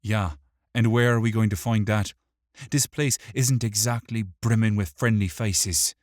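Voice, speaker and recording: Man voicing an urgent, higher-pitched small young hero. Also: very animated, unhurried, no echo, clean studio sound. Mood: worried, pleading. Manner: urgent, demanding. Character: small young male hero, anxious, exasperated, bossy. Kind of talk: audiobook character voice.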